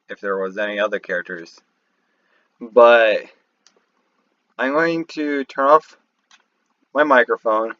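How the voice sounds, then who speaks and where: Male video host, lowish voice, slow halting commentary, casual, dull dry recording. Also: a bit bright, no room sound. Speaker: video host reacting to game lore. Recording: slightly muffled, dry home microphone.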